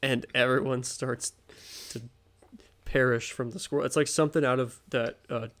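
A young American man with a noticeably creaky, gravelly voice at an average pitch. He co-hosts a podcast, spinning improvised comic stories casually, with a halting and giggly delivery. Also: steady tone, a bit bright. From American Midwest. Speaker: podcast co-host. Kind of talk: casual comedic improvised storytelling.

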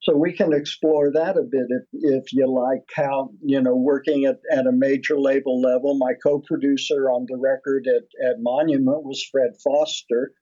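Older man, mellow rambling storyteller. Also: mid-range voice, steady tone, normal speed, few pauses, no room sound, dull audio. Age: 70s. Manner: rambling, many restarts and fillers. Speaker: guest musician and producer. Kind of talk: casual interview storytelling.

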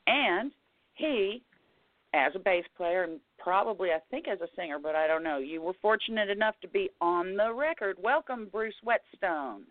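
Easygoing show host, mid pitch, tinny phone-like sound. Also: expressive, moderate pace, no echo. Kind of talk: radio show hosting.

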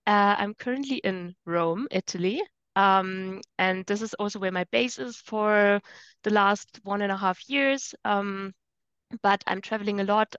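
A woman being interviewed over a video call, speaking thoughtfully with hesitant pauses and fillers. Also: mid-range voice, no room sound. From Germany. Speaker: guest. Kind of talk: casual interview chat. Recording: muffled dry video call.

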